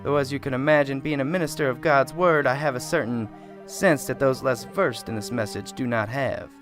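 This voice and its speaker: A man doing a soft-spoken, pious small-town reverend in a radio drama. He speaks at an even middle pitch, gently and at length, wandering off on tangents. Quiet music plays underneath. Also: brisk, lightly acted. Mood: solemn.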